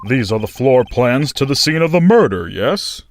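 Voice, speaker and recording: A man's voice: medium pitch, very animated, normal speed. A man voicing a stern older judge in a video game dub, formal and authoritative. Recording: music under the voice.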